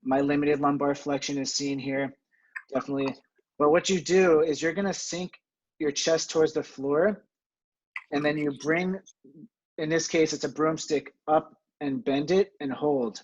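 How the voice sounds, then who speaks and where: A man from New Jersey teaching a home exercise webinar from his home, chatty and self-deprecating, with a steady, fairly monotone delivery and filler words. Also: on the high side, normal speed, lo-fi sound. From New Jersey, USA. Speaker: physical therapy webinar presenter.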